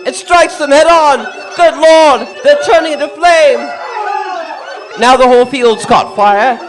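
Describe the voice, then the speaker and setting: Actor as urgent, high-pitched male radio field reporter. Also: a little slow, a crowd in the background, rough recording. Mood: panicked terror. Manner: breathless, urgent, dramatic eyewitness narration. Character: frantic male radio field reporter, awestruck then terrified. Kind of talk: 1930s radio drama reporting.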